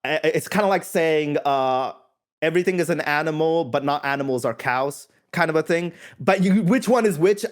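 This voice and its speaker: A man from India who is a podcast guest, explaining in casual conversation with a thoughtful, self-correcting manner. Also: on the high side.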